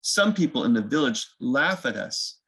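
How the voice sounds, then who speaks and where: A man's voice: medium pitch, sing-song, moderate pace, fairly bright tone, breathy edge, heavily acted. A man voicing an earnest, curious young village woman in a children's storybook.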